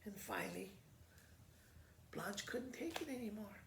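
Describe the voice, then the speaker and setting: A woman reading a storybook aloud as a school principal, retelling it in a halting, informal, folksy way. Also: medium pitch, animated, vocal fry. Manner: halting, informal, self-correcting. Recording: roomy echo with faint background noise.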